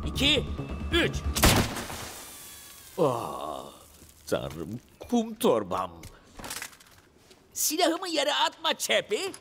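An actor playing a pushy, impatient street-tough gangster in dubbed film dialogue. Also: male voice, high-pitched, full character voice. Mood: impatient, exasperated. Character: bossy, impatient male gangster teaching a robot to shoot. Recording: film soundtrack with music behind.

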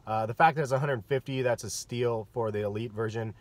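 A man in his thirties giving a relaxed, chatty sneaker review in a vlog, with plenty of ums and uhs. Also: mid-range voice, fairly quick. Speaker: sneaker vlogger. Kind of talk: casual vlog commentary. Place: parked car, and voice-over on store footage.

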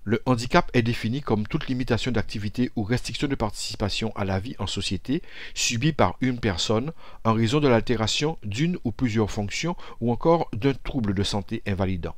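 A man reading a scripted news report voice-over in a measured, formal way. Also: medium pitch, no echo. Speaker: voice-over narrator.

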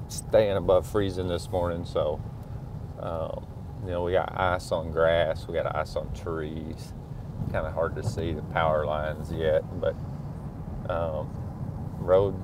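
Deep-voiced middle-aged guy talking in his truck, laid back, lots of ums, faint engine hum. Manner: relaxed, thinking aloud with many fillers. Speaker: school superintendent. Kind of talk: casual narration while driving. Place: inside a truck at night. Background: faint car engine and road noise.

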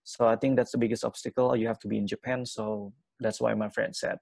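A young man from Indonesia, an English teacher, answering interview questions quickly with many fillers and restarts. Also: fairly low pitch, flat delivery, gravelly, a little mellow, no echo. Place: small room at home.

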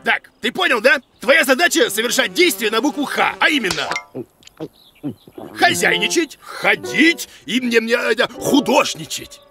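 Cartoon casting: bossy, hammy bearded creature teacher, gravelly, very animated delivery, over a music bed. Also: male voice, extremely high pitch, unhurried, heavily acted. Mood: commanding. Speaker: comic teacher to children. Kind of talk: animated children's show acting.

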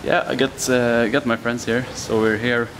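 A man's voice: medium pitch, little pitch movement, normal speed, noisy background. A man in his twenties chatting casually to a camera as he vlogs outdoors. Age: 20s. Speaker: vlogger. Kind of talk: casual vlog chat to camera. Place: mostly outdoors on streets and by eateries. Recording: music laid over the voice. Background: loud music, vehicles.

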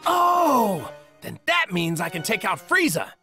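An earnest, boyish martial arts hero from a video game. The delivery is highly animated and the tone bright and forceful, with faint music behind. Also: female voice, a little slow. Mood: eager.

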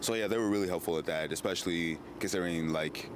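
Deep-voiced eighteen-year-old man, hesitant. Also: quick pace. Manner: hesitant, lots of uh and like. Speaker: film student. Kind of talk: casual answers. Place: outdoors on campus. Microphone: handheld mic. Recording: outdoor recording with clear background noise.

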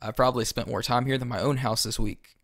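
Casting: awkward, crude teen boy male actor, mid-pitched, hesitant rambler, close dry sound, podcast drama. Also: fairly even intonation, quick pace, fairly bright tone, dry recording. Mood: awkward, curious. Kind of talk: podcast audio drama acting.

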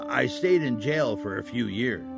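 A kindly old Saint Nicholas, acted by a man in a family audio drama. His voice is gravelly and mid-pitched, with animated intonation. He explains things patiently, hesitating like a genial grandfatherly figure. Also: measured pace, music in the background.

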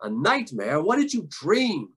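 Man playing a loud, exasperated devil. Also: on the high side, wildly swooping pitch, measured pace, breathy edge, exaggerated voice. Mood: irritated, exasperated. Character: devil, gruff irritable grandson, screaming and grumbling.

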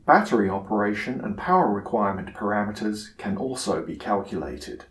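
A man giving a scripted software tutorial narration in a measured, instructional manner. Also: fairly low pitch, animated, reverberant.

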